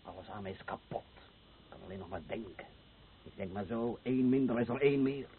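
An actor playing a bitter, aggressive fisherman suffering from thirst and pain in a radio play, with a husky voice. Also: mid-range voice, brisk, background noise, natural voice. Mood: weak, despairing. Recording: dull old radio play recording.

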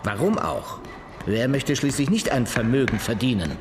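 An actor playing a talkative, cynical musical producer in a radio crime drama, telling things expansively. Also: male voice, mid-range voice, sing-song, normal speed, noisy background, natural voice. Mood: scornful.

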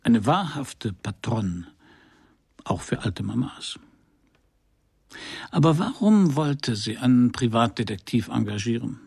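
Laconic detective, gravelly, close dry sound, wry and polite, radio crime play narration and dialogue. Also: male voice, medium pitch, expressive, takes it slowly, long pauses, a little mellow, no room sound, heavily acted. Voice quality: gravelly, husky. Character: male Parisian private detective, laconic, dry and courteous. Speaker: detective and first-person narrator.

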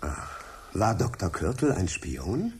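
An actor playing an inquisitive, defiant freelance science journalist in a radio drama, polite but questioning. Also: male voice, low-pitched, huge pitch swings, slow, a little mellow, a little hiss or hum, exaggerated voice. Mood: defiant, puzzled. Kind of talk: German radio drama acting.

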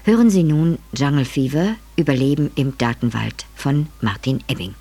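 A man reading a scripted radio introduction as announcer, in a measured, formal way. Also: medium pitch, warm tone.

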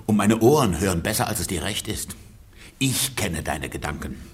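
An actor playing a suspicious, indignant peasant husband, scolding emphatically in a German radio comedy. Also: male voice, low voice, animated, moderate pace, breathy edge. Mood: indignant, stern. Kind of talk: German radio play acting, stagy comedy.

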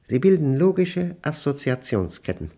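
An actor playing an obsessive, paranoid male professor in a German radio play, with a gravelly voice and emphatic, halting delivery. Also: a little high, sing-song, dark tone. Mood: obsessive, domineering. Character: obsessive, paranoid male professor battling his machine's will.

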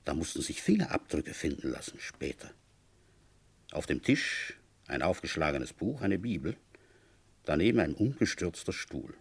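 Low, husky police commissioner. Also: male voice, sing-song, full character voice. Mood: brooding, grim. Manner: deliberate, brooding, authoritative questioning. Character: police commissioner, weary, methodical, firm investigator. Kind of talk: German radio crime drama, narration and dialogue.

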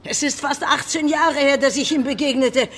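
A woman's voice: high-pitched, moderate pace, fairly bright tone, a little hiss or hum. An actress playing a bitter, desperate dockside woman who swings between mocking jeers and pleading in a radio play. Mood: insistent.

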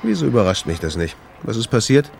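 An actor playing an impulsive, stubborn jazz club owner in a radio drama, talking in a colloquial, insistent, curt way. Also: male voice, fairly low pitch, very animated, quick pace, vocal fry, a little mellow, a little hiss or hum. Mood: shaken. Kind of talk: naturalistic German radio drama dialogue.

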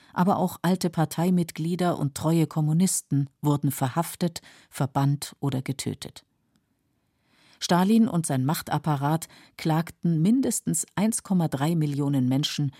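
A woman narrating a scripted radio documentary in a measured, sober manner. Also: sits low, warm tone, slightly rough, no room sound, clean studio sound. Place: dry studio.

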